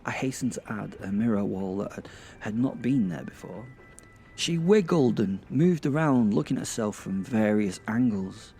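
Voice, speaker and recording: A man's voice: lowish voice, mellow, a little hiss or hum. A British man narrating a first-person audio drama in a bawdy, hesitant, self-interrupting way.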